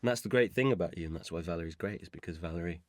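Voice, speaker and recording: A man's voice: low-pitched, rapid-fire, a little mellow, no room sound, clean studio sound. A man chatting casually and playfully as a voice actor being interviewed, telling stories a little hesitantly. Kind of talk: casual chat answering listeners' questions.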